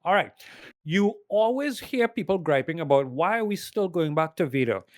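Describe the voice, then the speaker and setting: A man's voice: on the high side, lively intonation, moderate pace, no echo. Emphatic man ranting, close dry sound. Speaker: podcast host giving a ranked list. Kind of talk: casual opinionated panel chat.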